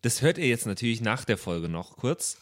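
A man's voice: mid-range voice, big pitch swings, normal speed. A man hosting a game podcast, making casual, chatty announcements to listeners. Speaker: podcast host and player.

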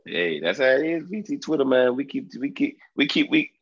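A man's voice: medium pitch, big pitch swings, normal speed, husky, highs cut off. A man hosting a casual sports podcast, congested from a cold, talking in a brash, profane, joking way. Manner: brash, profane, jokey trash talk. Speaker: podcast host.

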